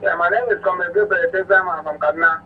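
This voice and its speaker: A man's voice: high-pitched, fairly even intonation, quick pace. A man with a Nigerian accent calling a radio show by telephone to give earnest political opinions. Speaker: phone-in caller. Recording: narrow tinny phone line, noisy hum.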